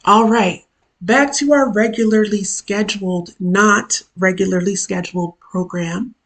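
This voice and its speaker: A woman with a hoarse, worn-out voice, casually chatting as host of her own live stream during a work lunch break, with chatty self-deprecating asides. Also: medium pitch, steady tone, measured pace, fairly bright tone. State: hoarse, lost voice from illness. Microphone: camera mic.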